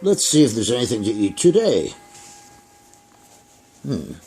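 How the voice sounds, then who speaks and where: A man voicing a greedy, gleeful garbage can in a children's puppet show, speaking slowly and repetitively. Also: lowish voice, wildly swooping pitch, creaky, dark tone, a little hiss or hum, voice pushed down, full character voice, rough recording. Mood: greedy, savoring. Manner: slow, repetitive, savoring delivery.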